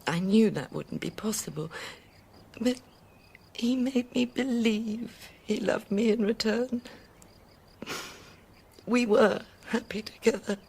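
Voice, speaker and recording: An actress with a British accent playing a timid, tender middle-aged French widow in a radio drama, soft, breathy and hesitant. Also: female voice, on the high side, slow pace, pauses often, heavily acted. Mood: grieving, tearful. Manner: hesitant, wistful.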